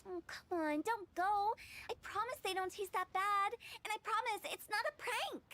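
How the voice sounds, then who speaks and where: A woman's voice: very high-pitched, moderate pace, sharp tone. A woman voicing a playful, mischievous teenage girl in a video game, teasing and wheedling in a singsong way. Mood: pleading. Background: background music.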